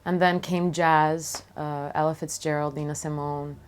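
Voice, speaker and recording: A woman from Venezuela speaking reflectively in an interview as a singer-songwriter, thoughtful and halting, often pausing mid-phrase. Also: low-pitched, level tone, a little hiss or hum.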